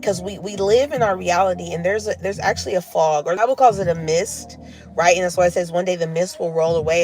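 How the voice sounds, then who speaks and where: A woman vlogger talking in a loose, rambling monologue full of fillers, her voice lowered from smoking cigars. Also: vocal fry, a little mellow.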